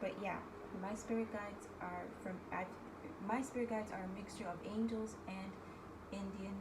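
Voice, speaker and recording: A woman with a New York accent hosting a casual spiritual talk as a tarot reader, chatty and often checking in with her viewers, her voice hoarse from overuse. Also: medium pitch, fairly even intonation, normal speed, background noise. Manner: chatty, keeps asking okay guys. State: losing her voice. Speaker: tarot reader and video host.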